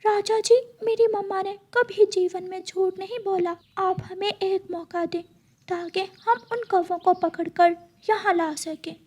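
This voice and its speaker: Woman as a very high-pitched brave little boy bird. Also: fairly even intonation, a little slow, a little mellow. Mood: earnest pleading.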